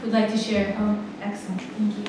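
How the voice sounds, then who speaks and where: A woman's voice: medium pitch, monotone, a little fast. A woman leading a poetry workshop who calls on students and encourages them to share. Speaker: workshop leader. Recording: echoey hall, distant mic, some noise.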